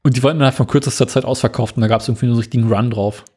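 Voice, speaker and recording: A man co-hosting a casual podcast, explaining news items in a relaxed, dry way. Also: medium pitch, very fast, breathy edge. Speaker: podcast co-host.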